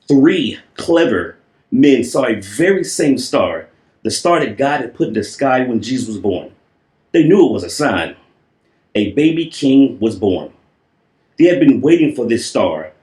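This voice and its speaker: A man tells a children's story at an unhurried pace in an echoing room. His delivery is playful and theatrical, with drawn-out words and repeated phrases. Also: mid-range voice, a little mellow. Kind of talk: animated storybook read-aloud with playful asides. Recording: roomy, echoing voice recording.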